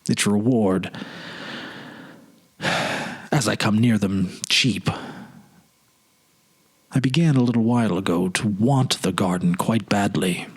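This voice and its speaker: A man playing a weary, haunted politician of forty in a storybook reading, confessing his regrets in a halting, sorrowful, dramatic way. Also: on the low side, breathy edge, heavily acted. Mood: weary, wistful. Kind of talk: dramatic literary audiobook reading.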